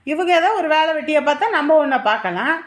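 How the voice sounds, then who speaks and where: Woman acting a high-voiced, put-upon daughter-in-law, roomy sound. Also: expressive, quick pace. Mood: sarcastic grievance. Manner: sarcastic, grumbling. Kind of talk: family drama acting. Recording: roomy echo on the voice.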